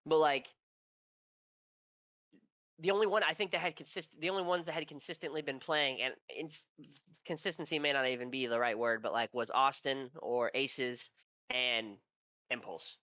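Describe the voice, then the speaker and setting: Male co-host, nasal and stuffy, sharp tone, dull lo-fi sound, swears and rambles. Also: medium pitch, steady tone, normal speed, pauses often, no echo. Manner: profane, rambling storyteller. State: stuffy from allergies. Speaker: podcast co-host. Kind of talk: casual esports podcast chat.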